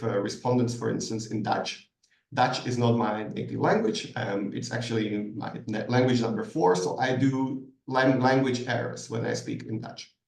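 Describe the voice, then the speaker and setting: A man's voice: mid-range voice, darkish tone. A man answering questions as a guest expert in a masterclass, thoughtful and hesitant, with many ums and restarts, heard over a video call. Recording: echoey room, distant mic.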